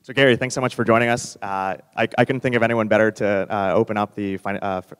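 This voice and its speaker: A man moderating a fireside chat on stage, polite and halting with many uhs. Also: lowish voice, fairly even intonation, smooth. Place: large hall on stage, seated. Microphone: handheld mic. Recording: hall reverb.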